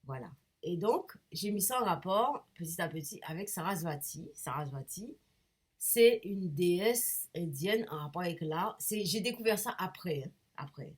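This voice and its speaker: A woman with a cold talks straight to her viewers in a low, husky voice. She rambles and hesitates, breaking off and restarting as she hunts for the right words. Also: expressive, normal speed. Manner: hesitant, many restarts and fillers, searching for words. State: has a cold. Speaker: painter and vlogger. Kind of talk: rambling casual talk to camera.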